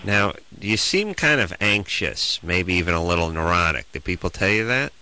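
A man with a deep voice and a very bright, forceful tone co-hosts a late-night call-in radio show. His pitch swings widely as he cuts in with blunt, crude wisecracks. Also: expressive, moderate pace, rough recording. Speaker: radio co-host.

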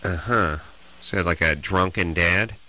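A man's voice: sits low, normal speed, sharp tone, slight background noise. A man co-hosting a call-in radio show, talking bluntly and cracking crude jokes. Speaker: radio co-host.

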